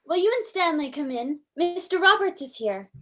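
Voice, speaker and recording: A woman's voice: high-pitched, expressive, moderate pace, sharp tone. A woman playing a gracious, courteous young daughter in an old-time radio style drama. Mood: polite, welcoming. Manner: courteous, proper. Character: polite American daughter, young gracious new manor owner.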